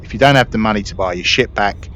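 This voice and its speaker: Low-voiced man giving casual gameplay commentary. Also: fast talker, a bit bright. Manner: explanatory, conversational. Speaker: gaming video creator. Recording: muffled mic with faint noise.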